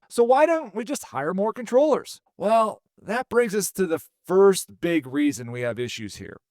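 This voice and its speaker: A man, a former air traffic controller explaining things in a steady, plainspoken teaching tone. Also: high-pitched, dry recording, clean studio sound. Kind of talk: explainer talk to camera.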